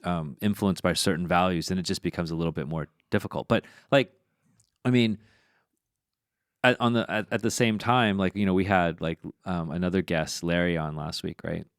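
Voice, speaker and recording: A man's voice: sits low, fairly even intonation, normal speed, clear, resonant tone, no room sound, clean studio sound. A man hosting a podcast, chatting casually and thinking aloud with many fillers. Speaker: podcast host.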